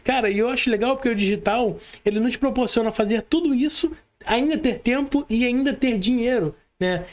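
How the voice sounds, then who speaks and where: A man with a Brazilian Portuguese accent hosting a casual podcast interview, speaking informally with slang and frequent tag questions seeking agreement. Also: sits high. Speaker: podcast host.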